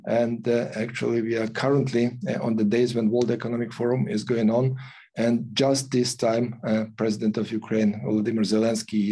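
An older man hosting an online event, reading a formal introduction with many pauses and fillers. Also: on the low side, mellow, husky. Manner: halting, many fillers and restarts. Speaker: host introducing a guest. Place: office with logo backdrop. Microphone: video call.

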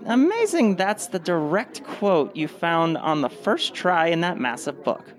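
A woman's voice: on the low side, big pitch swings, measured pace. A woman playing a fed-up, genre-savvy cynic with a dry, sardonic manner in a satirical radio play.

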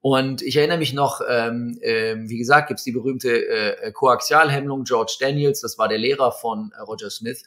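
A man in his fifties, a watch magazine editor and guest, telling stories in a relaxed interview with many fillers. He speaks over a video call from a room at home. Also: medium pitch, moderate pace, few pauses. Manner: hesitant, many fillers, storytelling. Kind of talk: conversational interview. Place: home room with bookshelves and string lights.

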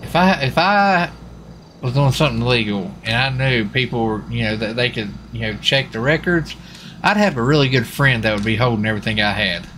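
A man's voice: medium pitch, animated, fairly quick, a bit bright, a little hiss or hum. A man in casual roleplay game chat who rambles and repeats himself. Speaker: player in online roleplay game.

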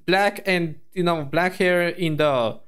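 Hoarse guy vtuber rambling thru a reaction, lots of like and i mean. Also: high voice, slow, fairly bright tone. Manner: rambling, chatty, with many fillers and restarts. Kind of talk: casual reaction commentary.

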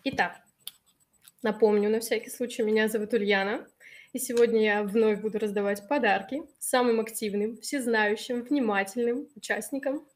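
A woman in her thirties, a little hoarse from allergies, hosting a live webinar on a video call and reading out and answering viewers' chat messages in a friendly way. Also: a little high, steady tone, moderate pace. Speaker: webinar host. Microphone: webcam on a video call.

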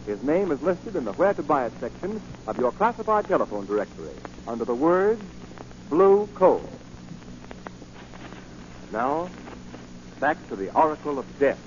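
A man's voice: on the high side, very animated, moderate pace, long pauses. An American man announcing in a polished, emphatic 1940s radio advertising style. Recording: muffled, lightly noisy 1940 radio recording.

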